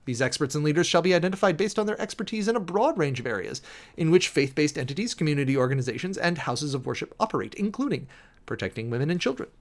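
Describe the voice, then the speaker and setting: A man's voice: a little high, expressive, fast. A man giving a dramatic, emphatic reading of a formal document, announcing headings and punctuation as he goes. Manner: theatrical, emphatic, spells out punctuation and section headings. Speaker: streamer and host reading a document aloud.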